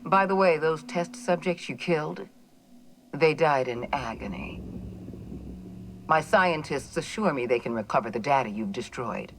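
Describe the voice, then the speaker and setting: An actress playing a cold, menacing female corporate official in a video game, speaking in a measured, formal, condescending way. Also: deep voice, animated, slight background noise. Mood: cold disdain. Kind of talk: dramatic video game dialogue.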